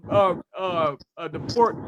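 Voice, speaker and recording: A man with a high voice leads a casual panel discussion. He is insistent and keeps pressing with questions, circling back to repeat his point. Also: slow pace, heavy background noise. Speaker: podcast panel host.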